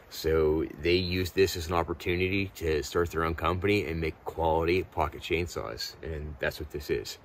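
A middle-aged man with a low-pitched voice talks casually to camera as he reviews outdoor gear. His delivery is laid-back and informal, sprinkled with keen slang. He is recorded outdoors with noticeable ambient noise around him. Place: outdoors in snowy woods.

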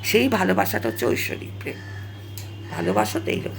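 Animated, creaky-voiced woman in her seventies. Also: low-pitched, background noise. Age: 70s. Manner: dramatic, halting, repeats phrases for emphasis, mixes in English words. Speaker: storyteller recalling own past. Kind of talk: emotional personal storytelling, casual monologue.

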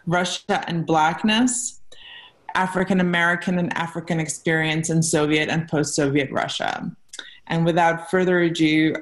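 A young adult woman hosting, introducing a guest speaker over a video call with many fillers. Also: fairly low pitch. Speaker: lecture series host. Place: room at home, indoors. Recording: echoey room on a video call.

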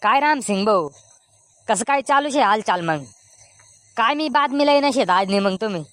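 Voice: medium pitch, expressive, normal speed, a bit bright, dry recording, studio quality, natural voice. A performer voicing a boastful, defensive village man in a comedy cartoon, with a Khandeshi Ahirani accent. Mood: cheerful.

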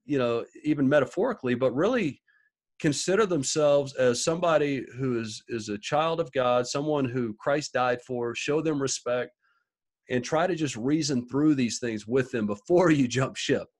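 Guy in his 30s on a webcam call from his study, mid pitch, stops and restarts a lot as he thinks. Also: normal speed, a little mellow. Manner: thoughtful, restarts and repeats words while reasoning aloud. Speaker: podcast co-host. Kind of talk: casual discussion on a video call. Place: small home study with bookshelves.

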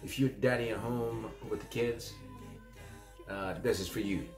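A man's voice: lowish voice, steady tone, a little slow. Zambian guy in his 30s doing a home cooking video, chatty, lots of "mm?" and "uh", music going in the back. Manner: chatty and encouraging, many fillers and questioning "mm?" tags. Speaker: cooking channel host. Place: small home kitchen, indoors.

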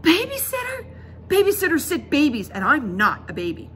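Woman playing an indignant spoiled little girl, shrill pitch. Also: huge pitch swings, normal speed, a little hiss or hum, heavily acted. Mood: outraged. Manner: grumping, loud, emphatic protests.

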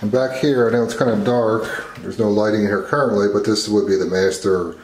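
A man's voice: lowish voice, fairly even intonation, brisk, a little mellow. A man casually narrating a walk-through tour of a property as the agent showing it. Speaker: real estate agent giving a house tour. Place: empty rooms of a house under renovation. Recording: echoey empty house, clear background noise.

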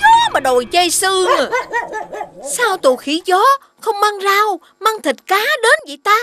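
An actor voicing a shrill, cackling, mischievous monkey in a fairy-tale cartoon. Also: very animated, normal speed, very noisy. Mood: gleeful. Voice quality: shrill, squealing. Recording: loud music over the voice.

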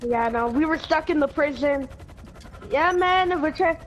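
A high-voiced game player chatting casually in improvised roleplay, often repeating words and restarting sentences. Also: measured pace, dull audio, a little hiss or hum. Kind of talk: casual improvised roleplay chat during gameplay.

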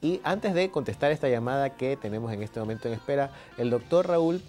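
A man's voice: medium pitch, animated, brisk, a little mellow, soft background music. A man in his forties hosting a TV health show in a studio, courteous and a little hesitant, on a lapel mic. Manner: courteous, hesitant, many fillers. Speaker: TV host.

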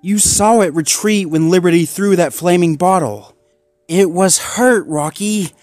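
A man's voice: high-pitched, a little slow, a little mellow, no echo, studio quality, soft background music, pitched above the performer's own voice. A man voicing a determined young male Labrador dog who talks in an intense, resolute way.

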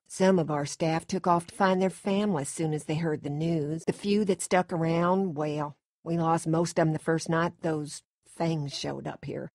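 A woman's voice: deep voice, gravelly, a little mellow, no room sound, clean studio sound, full character voice. An actress voicing a middle-aged, folksy Southern farm matriarch in a video game, sweetly polite and motherly with a sinister streak. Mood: sad, subdued. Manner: sweetly polite, coaxing, homespun. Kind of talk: acted video game dialogue.

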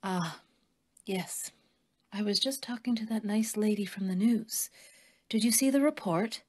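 A kindly, grandmotherly old lady acted by a woman in an audio play. Her voice is husky and she talks in a homely, genteel way. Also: mid-range voice, unhurried, darkish tone, lightly acted. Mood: gentle, chatty.

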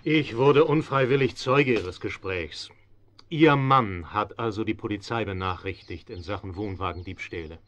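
An actor playing a burly, calm policeman in an audio drama, with an official, patronising manner. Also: lowish voice, lightly acted. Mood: measured. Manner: official, measured, soothing. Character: broad-shouldered male policeman, calm and patronising. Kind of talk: 1970s German radio play acting. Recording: dull vintage audio-drama recording.

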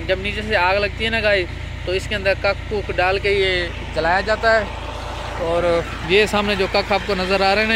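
High-voiced young man vlogging outdoors. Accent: Pakistani Punjabi. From Pakistan. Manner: chatty, repeatedly addressing viewers as guys. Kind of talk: casual walkthrough vlog. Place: outdoors on a village farm. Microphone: phone held at arm's length. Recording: outdoor phone recording with engine noise.

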